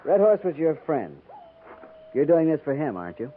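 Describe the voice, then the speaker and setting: Earnest cavalry lieutenant, very lively pitch. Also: male voice, moderate pace, phone-quality sound. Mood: doubtful. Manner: questioning, respectful, military. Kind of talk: 1950s radio drama acting. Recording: thin, faintly noisy 1950s radio recording.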